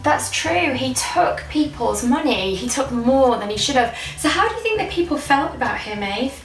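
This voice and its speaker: A woman's voice: high voice, very animated, normal speed, reverberant, far from the mic, slight background noise, poor audio. A woman presenting a children's Sunday school, asking questions and explaining in an encouraging way.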